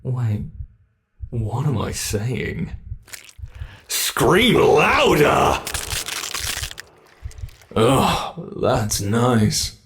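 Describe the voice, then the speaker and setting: A man with a British accent voicing a sadistic monster that hides under the bed, in an ASMR horror roleplay, talking slowly and slipping into menacing whispers. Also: mid-range voice, very animated, a bit bright, exaggerated voice. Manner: slow, gloating, menacing, drops into whispers. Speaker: voice actor.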